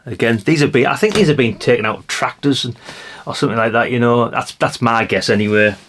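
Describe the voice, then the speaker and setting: A man's voice: mid-range voice, fast, fairly bright tone. Rambling British man with noisy background. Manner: rambling, musing aloud, chatty asides to viewers. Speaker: vlogger showing a haul of vintage CB radios. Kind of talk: casual hands-on show-and-tell.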